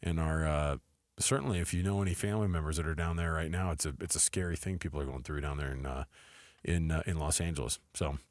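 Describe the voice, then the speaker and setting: A middle-aged American man co-hosting sports talk radio with casual, animated, colloquial banter. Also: a bass voice, fast talker, no room sound, clean studio sound. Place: radio studio. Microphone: close studio microphone.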